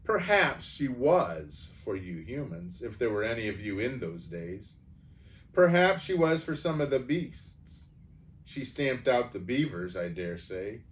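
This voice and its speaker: A man's voice: mid-range voice, sing-song, measured pace, a little hiss or hum. A man voicing a bitter, hostile dwarf who speaks coldly and snaps at others in a storybook reading. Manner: cold, snapping, rising to a scream. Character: bitter, hostile male dwarf, cold and resentful.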